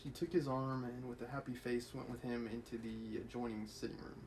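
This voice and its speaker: A man's voice: mid-range voice, flat delivery, a little fast, background noise. A man reading a classic novel aloud on a livestream, with casual asides to his chat. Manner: casual, reads with light character voices and laughs between asides. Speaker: livestream reader.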